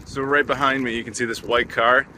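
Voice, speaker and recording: A man from North America hosting a casual travel vlog, chatty and jokey, talking excitedly about food and games. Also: mid-range voice, fairly quick, slight background noise. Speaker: vlog host.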